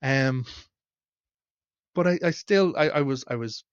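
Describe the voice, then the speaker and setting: A man's voice: medium pitch, measured pace, clear, resonant tone, dry recording. A man in his thirties with an Irish accent, hosting an online video and reflecting candidly to camera with plenty of fillers, recorded at home. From Ireland. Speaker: host. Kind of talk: casual talk to camera. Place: small room at home, indoors. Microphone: webcam at desk. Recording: dull, slightly lo-fi webcam sound.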